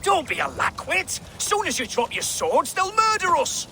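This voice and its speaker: An actor playing a hostile, distrustful Saxon soldier in a dramatic video game. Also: male voice, extremely high pitch, wildly swooping pitch, moderate pace, pushed and bright, slight background noise, exaggerated voice.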